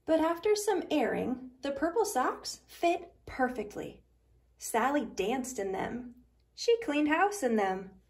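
A woman reads a picture book aloud for children. Her voice is high, and she takes her time, shaping each phrase with expressive, deliberate storytelling.

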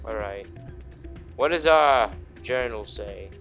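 A man's voice: lowish voice, expressive, slow, vocal fry, fairly bright tone. A man giving casual, rambling, sweary commentary as he plays a video game. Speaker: gaming video host. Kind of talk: casual let's play commentary. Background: faint game music.

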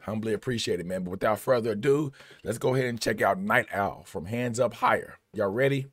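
A man in his thirties hosting a music reaction video, with a husky, strained voice from losing it over several days, talking in a laid-back, slangy way with drawn-out phrases. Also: on the low side, a bit bright, no echo. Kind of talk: casual reaction commentary. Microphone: headphones on, desk camera. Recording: music playing faintly behind.

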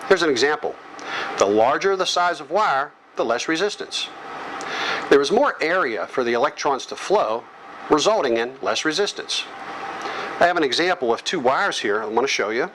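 A middle-aged American man giving an instructional lecture, explaining in short, measured phrases. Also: on the high side, expressive, noisy background. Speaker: electrical training instructor. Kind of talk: instructional lecture read from slides. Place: small office or classroom with whiteboard, indoors. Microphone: lapel microphone.

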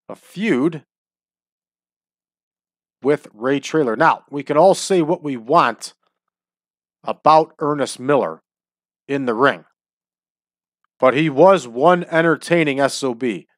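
A man's voice: medium pitch, slow pace, long pauses, dry recording, clean studio sound. Stuffy-nosed man chatting over gameplay. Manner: chatty, opinionated asides. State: stuffy nose from allergies. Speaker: let's-play host. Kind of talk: casual gameplay commentary. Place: at home.

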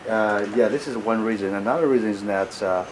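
A man with a fairly low voice explains things informally as he guides a visitor. He speaks haltingly in non-fluent English and repeats words. Clear factory room noise sits behind him. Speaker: factory representative guiding visitor. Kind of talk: informal explanatory tour talk. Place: indoors in factory warehouse and assembly hall. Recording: noisy factory room with air-conditioning hum.

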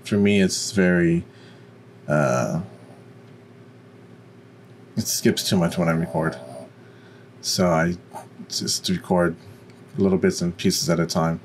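Very deep-voiced man explaining software. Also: slow pace, long pauses, slight background noise. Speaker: tutorial presenter. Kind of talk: software tutorial walkthrough.

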